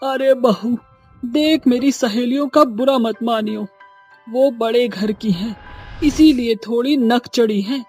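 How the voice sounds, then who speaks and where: A woman voicing a scheming, bossy mother-in-law who gives curt orders in a husky voice. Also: on the high side, measured pace, a little mellow, soft background music. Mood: commanding. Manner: commanding, curt.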